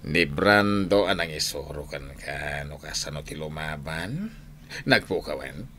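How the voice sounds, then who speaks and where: An actor playing an authoritative older general and mentor in a radio drama, speaking slowly and commandingly with an Ilocano accent. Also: male voice, a bass voice, expressive, pushed and bright, slight background noise, exaggerated voice. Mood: stern, foreboding.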